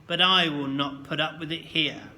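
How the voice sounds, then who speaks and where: A man's voice: on the high side, moderate pace. A man voicing a stern, bullying old male schoolteacher with a military manner, barking orders. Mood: barking, menacing. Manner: barking, shouted commands.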